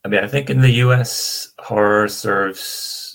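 A man's voice: lowish voice, steady tone, a little slow, fairly bright tone. A man with an American accent giving informal, thoughtful interview answers over a video call. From United States. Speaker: author interviewed about horror films.

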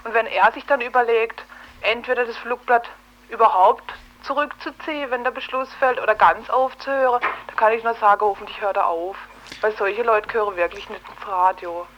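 Woman with a fairly high voice for a radio debate: opinionated, direct, colloquial, speaks as a station staff member. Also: fairly even intonation, a little fast, fairly bright tone, dull audio, slight background noise. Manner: outspoken, opinionated, colloquial with tag questions. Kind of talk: casual, argumentative radio discussion.

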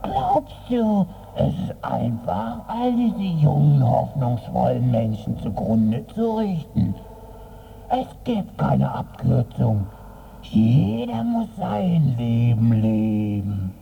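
A man playing a haughty, scolding official of the land of the dead in a theatrical radio sketch, with a creaky, condescending delivery. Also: medium pitch, sing-song, slow pace, full character voice. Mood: patronizing, weary. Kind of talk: acted comic-book dialogue in a radio review. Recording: noisy, tinny, phone-like radio sketch.

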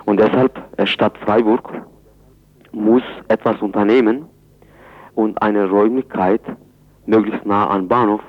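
A man answering in a radio interview, speaking haltingly in phrasing. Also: fairly low pitch, steady tone. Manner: halting, non-native phrasing. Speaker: interviewee, chairman of a Kurdish community centre. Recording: dull, lo-fi radio recording.

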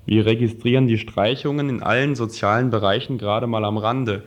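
A man with a fairly low voice reads a scripted political text aloud as a radio presenter. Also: steady tone, brisk, dull audio.

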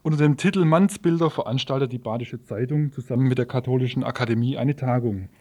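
Guy reading scripted news intros on the radio, mid pitch voice. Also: quick pace, darkish tone.

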